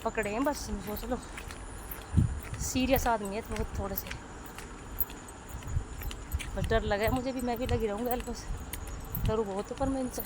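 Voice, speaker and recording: A playful woman talking into a phone outdoors by fields, teasing and a little breathless. Her voice sits slightly high, with clear outdoor noise and passing vehicles around her. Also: fairly even intonation, measured pace, pauses often. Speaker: vlogger. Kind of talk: casual outdoor vlog talk.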